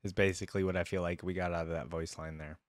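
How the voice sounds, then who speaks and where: Low-voiced man doing casual reactions. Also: little pitch movement, fast talker, dry recording. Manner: slangy, informal. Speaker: reaction video host. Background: faint music.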